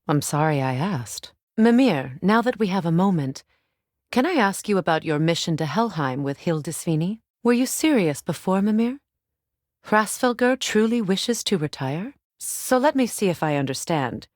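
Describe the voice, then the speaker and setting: A warrior goddess from a Norse fantasy video game, a proud woman with a slightly low voice. Her phrasing is formal and measured with a dry edge. The sound is studio-dry, with no room around it. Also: no room sound. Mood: concerned, questioning. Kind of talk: dramatic video game voice acting.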